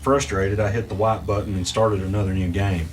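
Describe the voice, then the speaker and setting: A man acting as the weary narrator of a mystery audio drama, recounting an arcade ordeal in a measured, halting way. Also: lowish voice, level tone, natural voice, rough recording. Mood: wry, suspenseful recounting. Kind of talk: first-person audio drama narration with dialogue.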